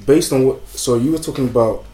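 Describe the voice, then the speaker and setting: A man's voice: medium pitch, brisk, crowd noise. A young man with Nigerian roots, hosting a podcast in a laid-back, slangy way, with hesitant fillers. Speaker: podcast host. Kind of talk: casual chat. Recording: echoey room with faint music.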